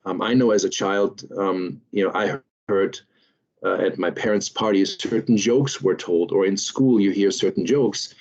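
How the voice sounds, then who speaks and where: Lecturer: man, German accent, low voice, unhurried with frequent fillers, muffled webinar audio. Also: darkish tone. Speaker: lecturer, series host. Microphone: video call.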